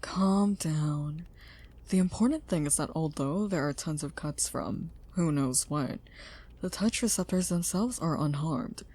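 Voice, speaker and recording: Woman acting as an earnest scientist, lowish voice, slightly husky. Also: a little slow, mellow. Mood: calm, businesslike. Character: earnest, curious female scientist who built a robot. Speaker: voice actor in an audio roleplay. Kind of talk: scripted audio drama acting.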